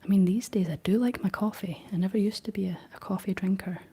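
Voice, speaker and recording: A young woman with a Scottish accent hosting a whispered ASMR livestream, chatting gently through viewer comments in a soft, unhurried way; her voice is deep for a woman. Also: slightly rough. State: slight frog in throat.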